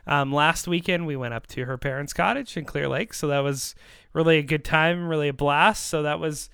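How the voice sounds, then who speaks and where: A man's voice: a little high, moderate pace, gravelly, a bit bright, smooth, no room sound, clean studio sound. A man hosting a podcast, chatting casually in an upbeat, talkative way. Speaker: podcast host. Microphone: close microphone on a remote call.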